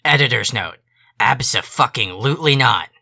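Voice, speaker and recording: Guy doing grumpy troll editor, super croaky n forceful, curt fed-up delivery, audio drama. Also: mid-range voice, lively intonation, normal speed, exaggerated voice. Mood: grudging annoyance. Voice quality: gravelly. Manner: curt, exasperated. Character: grouchy, foul-mouthed male alien troll editor. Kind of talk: fan audio drama acting. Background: music.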